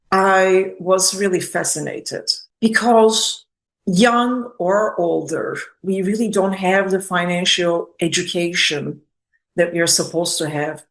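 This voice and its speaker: A woman's voice: fairly low pitch, takes it slowly, rough recording. Effusive woman hosting an interview. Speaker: interview host. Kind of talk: spotlight interview for a business network.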